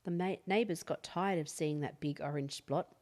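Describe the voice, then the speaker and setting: Woman reading a bedtime storybook out loud, kinda low voice, expressive storyteller. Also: moderate pace. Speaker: storyteller reading a children's book aloud. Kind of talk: expressive read-aloud bedtime story narration.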